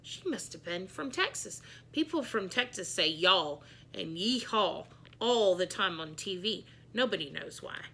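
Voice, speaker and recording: A woman's voice: medium pitch, expressive, measured pace, few pauses, bright, forceful tone, pitched below the performer's own voice, heavily acted. A woman reading a children's book as a wisecracking schoolboy who hates school. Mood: mocking. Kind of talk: children's book read-aloud.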